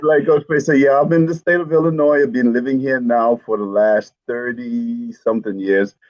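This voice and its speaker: A man's voice: mid-range voice, steady tone, moderate pace, darkish tone, no room sound. Upbeat middle-aged man, dull dry sound. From Bahamas. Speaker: network marketing coach. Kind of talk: casual storytelling on a live stream.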